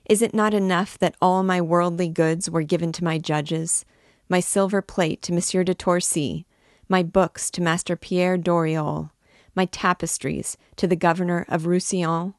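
A man's voice: high-pitched, steady tone, normal speed, no room sound, clean studio sound, pitched above the performer's own voice. A woman voicing a pleading caged prisoner. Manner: pleading, tearful begging. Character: desperate male prisoner caged for years.

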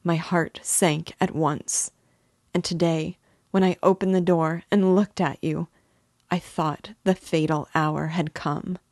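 A woman's voice: on the low side, steady tone, takes it slowly, a little mellow, dry recording, studio quality. A woman voicing an anxious, tearful middle-aged mother who pleads emotionally with her son. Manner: pleading, emotional, breaking into sobs. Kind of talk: audiobook character reading.

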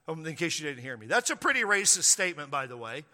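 High, sharp-voiced preacher in a reverberant hall. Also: male voice, sing-song, fast talker. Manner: preaching with emphatic repetition. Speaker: pastor. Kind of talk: sermon. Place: church sanctuary.

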